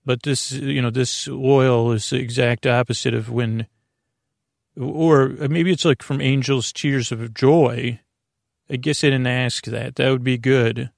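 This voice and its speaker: A man hosting a bedtime podcast, talking in a lulling, creaky way and wandering off on meandering tangents. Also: medium pitch, fairly even intonation, unhurried, dry recording, clean studio sound. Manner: meandering, tangent-prone, self-interrupting. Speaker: podcast host. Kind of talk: rambling bedtime podcast talk.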